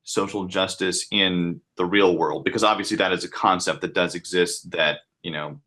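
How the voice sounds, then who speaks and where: Male interview host, lowish but bright voice, informal and rambling, often restarting his questions. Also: steady tone, moderate pace. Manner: hesitant, rambling, restarts mid-sentence. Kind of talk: casual interview.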